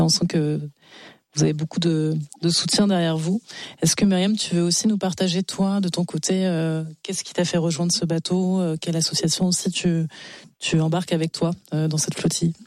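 A radio host in a studio asking polite, gently guiding questions. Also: medium pitch, dry recording.

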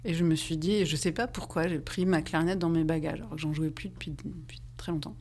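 A woman in her thirties, a musician guest in a radio interview, talking thoughtfully with many pauses, fillers and restarts. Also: sits low, steady tone, darkish tone, a little hiss or hum. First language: French. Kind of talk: radio interview, casual chat.

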